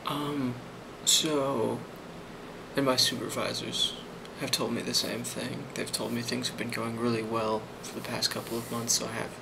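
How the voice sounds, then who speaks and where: A man speaking softly in an ASMR role play as a boss, hesitant and reassuring, with frequent pauses. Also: mid-range voice, little pitch movement, creaky, noisy background. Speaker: ASMR role-player playing a boss giving a review.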